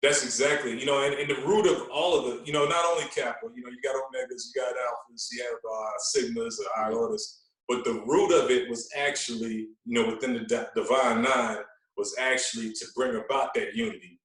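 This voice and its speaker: A man speaking as a podcast guest in casual storytelling style, animated and given to repeating himself. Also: medium pitch, a little fast, roomy sound, far from the mic, poor audio. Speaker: podcast guest, radio personality.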